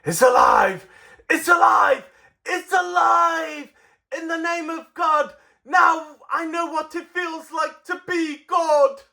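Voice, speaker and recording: A manic mad scientist, shouting at an extremely high pitch in exultant frenzy. The delivery is theatrical, like classic horror cinema, with a gravelly edge. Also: male voice, takes it slowly, heavily acted. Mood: manic exultation. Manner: theatrical, repeating lines in rising frenzy. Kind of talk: old horror film impression.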